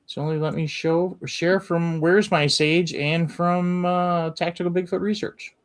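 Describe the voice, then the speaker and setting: A man co-hosting a live stream, relaying viewers' comments in a low-key, grumpy way. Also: little pitch movement, measured pace. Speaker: co-host handling the chat.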